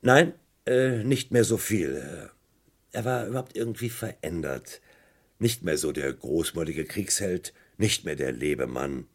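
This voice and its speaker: An actor playing a sly, needling police inspector in a vintage crime radio play, with feigned politeness and probing, theatrical emphasis. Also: male voice, deep voice, animated, unhurried, husky, exaggerated voice. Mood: explaining, satisfied.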